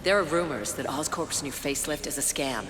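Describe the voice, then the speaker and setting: An actress playing a bold, flirtatious TV reporter in a video game, sassy and self-assured. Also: female voice, deep voice, expressive, brisk, a bit bright, a little hiss or hum. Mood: probing. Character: bold, flirtatious, stubborn female TV news reporter. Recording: echoey hall, music behind.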